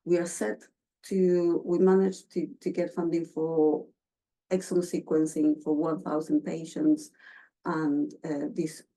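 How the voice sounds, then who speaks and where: Argentine lady giving a research talk, low mellow voice, lots of ums and restarts. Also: level tone, rough recording. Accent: Argentine Spanish. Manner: halting, many fillers and restarts. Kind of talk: academic presentation.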